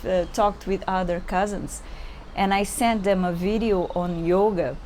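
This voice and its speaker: A woman's voice: medium pitch, steady tone, unhurried, darkish tone, slight background noise. A Brazilian woman, a yoga teacher whose first language is Portuguese, talking to camera in English and often stopping to search for words. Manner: hesitant, searching for English words, many fillers. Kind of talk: unscripted yoga introduction to camera. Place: indoors at home, seated on a chair.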